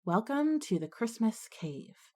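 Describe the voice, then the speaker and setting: A woman's voice: on the high side, very animated, slow, mellow. A woman playing a hardworking, put-upon schoolgirl in a scripted audio drama, plainspoken and wry with some exasperation. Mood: practical, wry. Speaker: character and first-person narrator.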